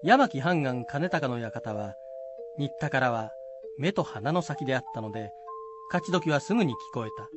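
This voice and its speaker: A man's voice: mid-range voice, lively intonation, normal speed, over music. A man giving scripted storytelling narration of a historical tale.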